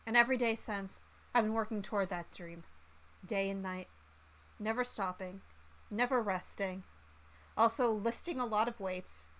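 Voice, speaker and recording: A woman's voice: mid-range voice, normal speed, pauses often, a bit bright, natural voice. A woman voicing an earnest, dreamy male chef hero from a visual novel in a grandiose, sincere manner.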